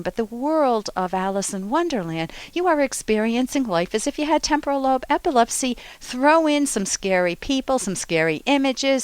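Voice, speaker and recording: A woman's voice: medium pitch, clear, resonant tone, no room sound. A middle-aged woman hosting a call-in advice show as a psychologist, talking conversationally and emphatically with personal anecdotes.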